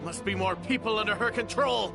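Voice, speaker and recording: A man's voice: high voice, a little fast, vocal fry. A man playing an urgent guard in a video game cutscene, calling out exclamatory alerts. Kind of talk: dramatic video game voice acting. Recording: music under game dialogue.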